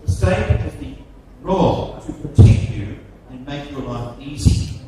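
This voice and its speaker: Slow Australian man in echoey hall. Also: fairly high pitch, animated. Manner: slow, emphatic, repetitive phrasing with strong stresses. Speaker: guest lecturer. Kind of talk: seminar lecture. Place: large lecture hall. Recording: very echoey hall from far off, noisy.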